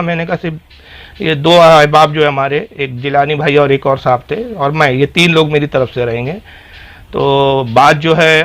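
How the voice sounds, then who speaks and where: Higher-pitched man recounting a dispute. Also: a bit bright. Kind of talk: recounting a dispute on a phone call.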